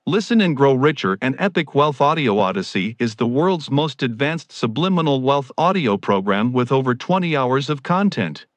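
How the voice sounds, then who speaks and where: A man reads scripted promotional copy in a medium-pitched voice. His delivery is formal and grandiose, like a salesman presenting his own products. The sound is dry, with no room around the voice. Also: sing-song, normal speed, hardly any pauses, no room sound.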